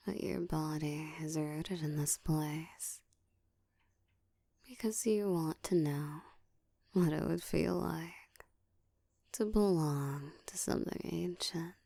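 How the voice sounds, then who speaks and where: A woman playing a seductive, domineering ancient vampire in an intimate ASMR roleplay, speaking in a slow, breathy whisper with a teasing, commanding manner, close to the mic. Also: sits low, mellow, no room sound, heavily acted. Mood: teasing, predatory. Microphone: close microphone.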